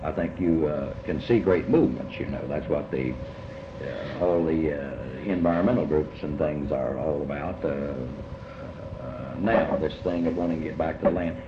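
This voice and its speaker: An older man speaking reflectively in an interview about his life, halting and often repeating words as he rephrases. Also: sits low. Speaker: interviewee recalling early life. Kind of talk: reflective oral history interview. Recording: muffled, noisy, echoey room recording.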